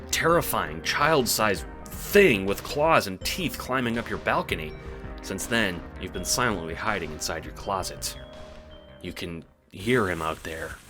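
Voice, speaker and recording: A man reading second-person audio drama narration in an animated storytelling manner, with casual swearing. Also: fairly low pitch.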